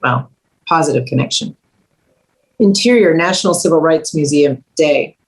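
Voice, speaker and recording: A woman's voice: low voice, normal speed, low-quality recording. A woman narrating scripted stage directions at a table read.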